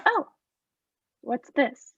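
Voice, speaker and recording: A woman's voice: drawn-out words, fairly bright tone, no echo, muffled recording. A woman acting a cold, vengeful murderer in a radio play, speaking with formal, sardonic politeness as he mocks his victim. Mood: gloating.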